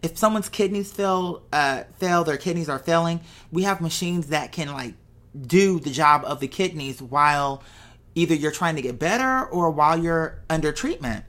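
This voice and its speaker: A woman with a low-pitched voice speaks in a casual, unscripted vlog style. She thinks aloud as she goes, wandering conversationally through her points and often turning to the listener with rhetorical questions. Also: expressive, normal speed, fairly bright tone. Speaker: vlogger. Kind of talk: casual off-the-cuff monologue, thinking aloud to viewers.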